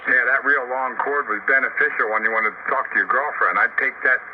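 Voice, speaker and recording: A man's voice: mid-range voice, fairly quick. Older man chatting over noisy radio. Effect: radio transmission. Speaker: amateur radio operator in a group chat. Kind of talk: casual reminiscing chat. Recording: dull noisy two-way radio transmission.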